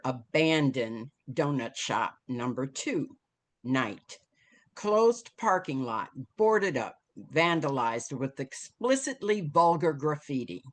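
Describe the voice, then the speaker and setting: Low-voiced woman narrating slowly and expressively. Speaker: stage-direction reader. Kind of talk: scripted narration of screenplay directions.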